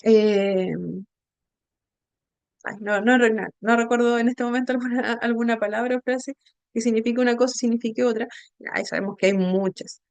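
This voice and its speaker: A woman instructor with a fairly high voice and a Chilean accent, recorded dry and close without room sound. She lectures informally in a halting way, often pausing to search for words and checking in with short tag questions. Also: steady tone, gravelly, a little mellow, no echo. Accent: Chilean Spanish. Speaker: instructor of an inclusive education class.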